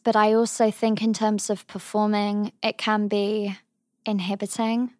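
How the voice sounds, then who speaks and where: Earnest young Englishwoman with a high voice. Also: no echo, clean studio sound. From England. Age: young adult. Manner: thoughtful, earnest, softly trailing phrases. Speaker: interview guest, drama student. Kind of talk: reflective interview answers.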